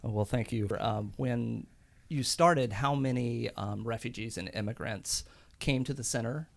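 A man asking formal interview questions in a polite, measured way. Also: medium pitch, gravelly.

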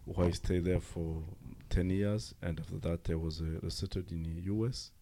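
A middle-aged man from Rwanda with a Rwandan accent, a non-native English speaker, telling his own story haltingly in an interview. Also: sits low, steady tone, dark tone. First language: not English. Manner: halting, pauses often. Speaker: interviewee, former refugee. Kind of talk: personal account in an interview.

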